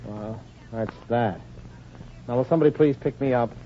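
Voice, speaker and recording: A man playing a conceited, slow-witted young crooner in a 1940s radio comedy, with slangy, self-satisfied delivery. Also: medium pitch, close to an everyday voice. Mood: rueful. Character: vain, dim, conceited young male crooner, easily hurt. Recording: muffled, noisy 1940s radio recording.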